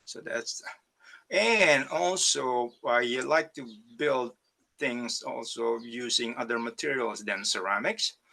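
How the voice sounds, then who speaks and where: Man from Indonesia, slow, hesitant, gravelly, bright tone, video call talk. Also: medium pitch. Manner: hesitant, many fillers and restarts. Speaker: ceramic artist. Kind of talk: virtual artist talk presenting slides.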